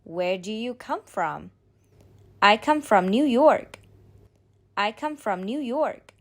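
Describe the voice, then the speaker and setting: Woman reading practice lines real slow, bouncy up-and-down voice. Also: fairly high pitch, expressive. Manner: repeats each line slowly and clearly. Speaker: model speaker in a language drill. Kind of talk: slow scripted drill reading.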